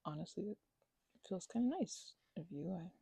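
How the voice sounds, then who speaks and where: Husky, gravelly woman rambling softly. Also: fairly low pitch, lively intonation, normal speed, dry recording. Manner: rambling and hesitant, with many fillers and stammered restarts; drops into soft whispers at times. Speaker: ASMR roleplay performer. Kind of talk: ASMR roleplay, speaking to the listener as a roommate.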